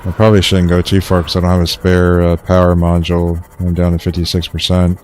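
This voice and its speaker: A young man casually commenting while he streams a survival game, in a low-key monotone, rambling with fillers and restarts. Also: a little fast, slight background noise.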